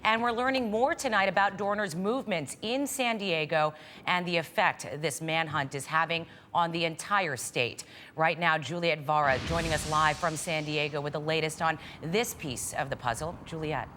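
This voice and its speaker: A woman's voice: low voice, moderate pace, a bit bright, a little hiss or hum. A woman anchoring a television newscast in a scripted presenting style. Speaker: news anchor. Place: TV news studio.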